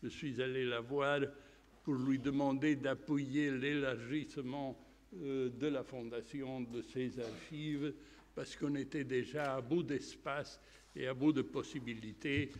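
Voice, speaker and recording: A man hosting an event, giving a polite formal introduction with occasional pauses and fillers. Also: medium pitch, steady tone. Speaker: event host. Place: lecture hall. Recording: reverberant hall with faint noise.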